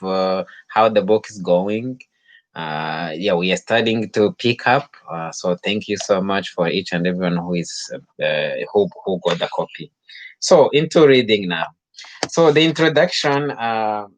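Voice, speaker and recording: A man in his thirties speaks with a Rwandan accent in a lowish voice. He addresses listeners informally as an author, often pausing and rewording as he goes. The sound is dry, with very little room echo. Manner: halting, repeats and rephrases phrases. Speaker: author hosting a book-reading talk.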